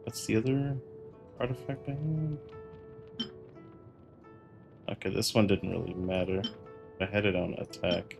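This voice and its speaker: A man chatting casually and in a low-key way while livestreaming gameplay. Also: steady tone, normal speed, gravelly. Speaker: game streamer. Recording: music playing behind the voice. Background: game music.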